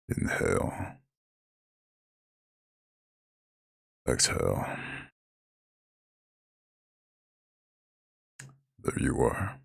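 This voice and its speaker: A man voicing an ancient, imposing eldritch god who is gently caring and proud, speaking in a slow, soothing, formal growl in an ASMR roleplay. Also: dry recording, clean studio sound, heavily acted. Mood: calm, soothing. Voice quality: very deep gravelly husky growl.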